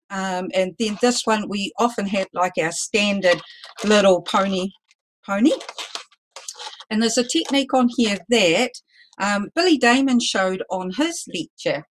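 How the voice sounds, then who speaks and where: Loud chatty New woman teaching. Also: mid-range voice, animated, measured pace, slight background noise, poor audio. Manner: loud, chatty, self-deprecating. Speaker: balloon artist teaching a workshop. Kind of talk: live online demonstration lesson.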